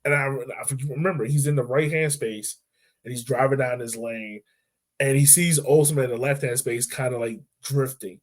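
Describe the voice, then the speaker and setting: A man co-hosting a podcast, talking football in a loose, animated way with lots of fillers and restarts. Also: mid-range voice, moderate pace. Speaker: podcast co-host. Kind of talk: casual sports chat.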